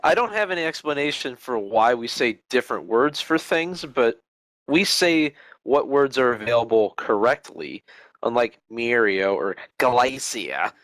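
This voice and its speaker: An American man from Ohio, speaking with an Ohio accent, tells stories with a joking, animated energy. His pitch is middling and moves widely. The recording is dry and close to the mic. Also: unhurried, no echo, rough recording. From Cleveland, Ohio. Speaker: podcast co-host. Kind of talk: casual chat.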